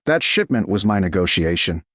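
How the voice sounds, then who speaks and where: A man plays a proud medieval woman who will not be talked down to. The retorts are clipped and indignant, with swinging pitch, in a dry audiobook recording. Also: very animated, moderate pace, a bit bright, no room sound. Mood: furious. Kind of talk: audiobook character dialogue.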